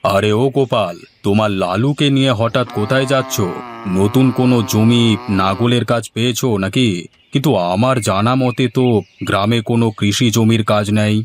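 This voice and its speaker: A man's voice: mid-range voice, steady tone, normal speed, soft background music, lightly acted. A man voicing an inquisitive, patronizing village headman in a moral-story cartoon.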